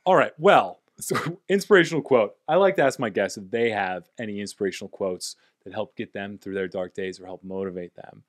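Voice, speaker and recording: A playful young man hosts a casual comedy podcast with a slightly low voice. He jokes and laughs easily, recorded close on a studio mic in a dry, dead room. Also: fairly quick, hardly any pauses, no echo. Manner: playful, jokey, bursts of loud laughter. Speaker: podcast host. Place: small home podcast studio, indoors. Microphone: studio mic on boom arm.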